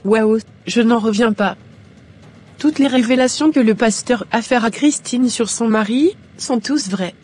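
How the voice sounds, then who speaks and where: A woman's voice: on the high side, a little slow, a little mellow, husky. A woman voicing a credulous, admiring churchgoer chatting in a cartoon. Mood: amazed, admiring. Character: amazed, credulous female church member. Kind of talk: dramatic animated dialogue.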